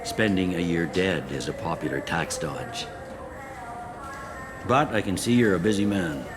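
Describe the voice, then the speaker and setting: A man playing a gruff, pragmatic police captain on a space station, blunt and wry, in video game dialogue. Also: low-pitched, lively intonation, a little slow, over music. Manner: blunt, wry, matter-of-fact.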